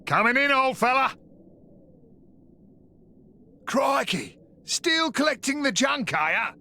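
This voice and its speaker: Hearty, gravelly mercenary bruiser. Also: male voice, very high-pitched, very animated, moderate pace, sharp tone, heavily acted. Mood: teasing. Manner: hearty, teasing, slangy. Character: boisterous burly male mercenary, good-natured old comrade. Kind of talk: video game cutscene acting.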